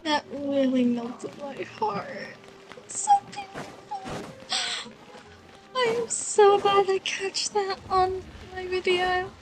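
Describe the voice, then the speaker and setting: A woman streamer chatting casually about a game. Her voice is high and slow-paced, gushing with sentiment, with music clearly playing behind her. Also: very animated, poor audio. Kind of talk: casual gameplay commentary.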